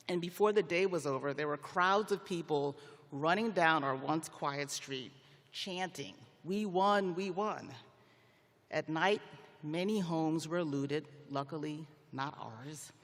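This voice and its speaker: High-voiced man giving a formal speech, arena reverb. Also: a bit bright. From Liberia. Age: middle-aged. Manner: measured, formal, deliberate phrasing. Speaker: commencement speaker, federal magistrate judge. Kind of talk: prepared commencement speech. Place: large indoor arena, on stage at a ceremony. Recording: long reverb of a large arena.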